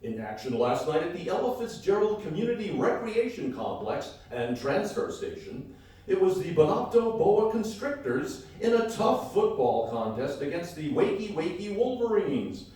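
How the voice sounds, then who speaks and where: High-pitched male radio announcer, echoey hall. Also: sing-song, normal speed, darkish tone. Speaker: comedy radio announcer and co-host. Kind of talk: scripted mock radio announcing, live performance. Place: live on stage in a hall. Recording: echoey hall stage, distant mic.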